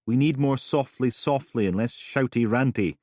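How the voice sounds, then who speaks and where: A man voicing an easygoing young male detective who tries to calm things down with wry remarks. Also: medium pitch, moderate pace, no room sound, clean studio sound, pitched above the performer's own voice, lightly acted. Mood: placating. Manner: placating, wry.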